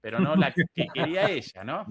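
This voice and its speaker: A man's voice: a little high, lively intonation, fast, fairly bright tone. A man hosting a casual political talk. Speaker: host.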